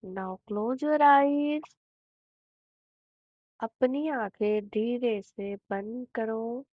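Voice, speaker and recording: A woman with an Indian accent narrating a guided meditation in a soothing, unhurried manner, leaving long pauses between phrases. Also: fairly high pitch, no echo. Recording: thin, phone-like narrow band.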